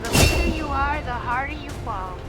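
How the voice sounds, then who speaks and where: Very high, haughty theatrical villain. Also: female voice, expressive, moderate pace. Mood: haughty, indignant. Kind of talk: character voice pack lines. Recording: loud game music behind.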